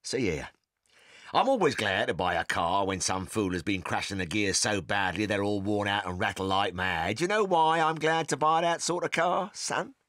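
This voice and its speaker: A man's voice: fairly low pitch, expressive, normal speed, fairly bright tone, no echo, clean studio sound. An actor playing a crooked, boastful, bullying middle-aged father with a colloquial English accent in a dramatised audiobook. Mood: smug boasting. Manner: blustering, scornful, colloquial.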